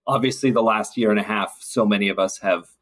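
A man's voice: on the low side, steady tone, brisk. A man speaking as a podcast guest in a casual, reflective conversation, earnest and thoughtful.

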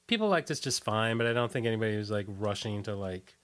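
A man speaking as an interview guest, casually recollecting in a loose, halting way and often trailing off. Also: lowish voice, expressive, a bit bright.

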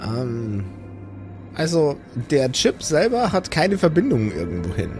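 A man plays a thoughtful woman doctor in an improvised audio drama. The speech is hesitant and pondering, with lively swings in pitch over clear background music. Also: measured pace. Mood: dry, explaining. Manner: hesitant, pondering, repeats phrases. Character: thoughtful, curious female doctor tinkering with tech.

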